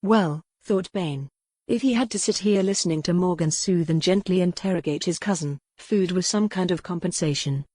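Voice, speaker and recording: A woman narrating a novel in a measured, even storytelling manner on a close mic. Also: lowish voice, dry recording. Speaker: audiobook narrator. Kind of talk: scripted narration.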